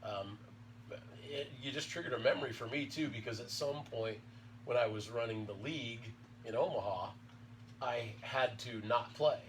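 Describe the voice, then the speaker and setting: A man in his fifties hosting a casual podcast interview, chatty and quick to laugh. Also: medium pitch. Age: 50s. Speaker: podcast host. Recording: distant mic with faint room noise.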